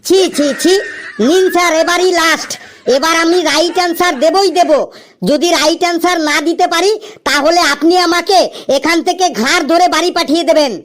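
Woman voicing an eager, squeaky-high cartoon job candidate. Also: fairly even intonation, brisk. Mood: eager, self-mocking. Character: eager, foolish, cheeky job candidate in an interview. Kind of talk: cartoon comedy dialogue.

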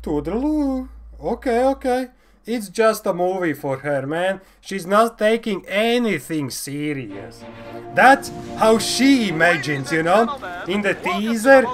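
A man in his thirties reacts casually and excitably in a high-pitched voice. He takes his time, repeats phrases and comments off the cuff, with prominent trailer music behind him. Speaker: reaction video host. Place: indoor room. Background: game trailer music.

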